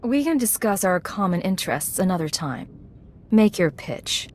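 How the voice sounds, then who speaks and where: Cool, terse game spy. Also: female voice, fairly low pitch, big pitch swings, moderate pace, darkish tone, slight background noise. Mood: guarded. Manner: businesslike, dry. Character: cool, terse female secret agent. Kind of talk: video game voice acting.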